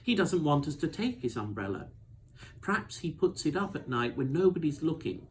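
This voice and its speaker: A man's voice: on the high side, very animated, moderate pace, voice pushed up. Male reader as young storybook girl, earnest, kind, concerned, some recording noise.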